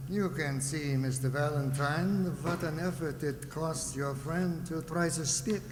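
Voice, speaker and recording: A man playing a formal, condescending psychiatrist who lectures in measured phrases in an old-time radio drama. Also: fairly high pitch, close to an everyday voice. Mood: lecturing. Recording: echoey hall, background noise.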